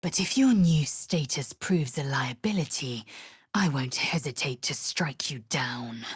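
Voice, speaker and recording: An actress voicing a fierce, proud githyanki warrior woman in a video game, husky and breathy, with a clipped, imperious, disdainful delivery. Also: very deep voice, lively intonation, a little slow, dry recording, studio quality, heavily acted. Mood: blunt, measured. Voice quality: husky, raspy, breathy edge. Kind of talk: video game character voice acting.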